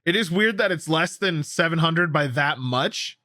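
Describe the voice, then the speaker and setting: A man's voice: on the high side, lively intonation, normal speed, sharp tone, no echo, clean studio sound. A man hosting a gaming news show, commenting casually and enthusiastically on stories with a co-host. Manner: enthusiastic, opinionated, conversational.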